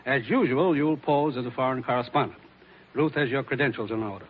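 A man's voice: medium pitch, brisk, a little hiss or hum, natural voice. Casting: actor for a matter-of-fact male commissioner, dry authority, 1940s radio style, muffled recording. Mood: grave, businesslike.

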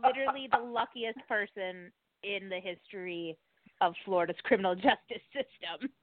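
A woman's voice: medium pitch, moderate pace, noisy background. A middle-aged woman podcast co-host chatting casually as a guest in an interview. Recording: dry, muffled band-limited call audio.

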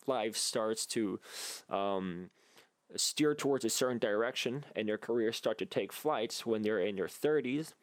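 A man hosting a solo podcast, talking casually and reflectively in an earnest, halting way as he thinks aloud. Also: mid-range voice, no echo. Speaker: podcast host.

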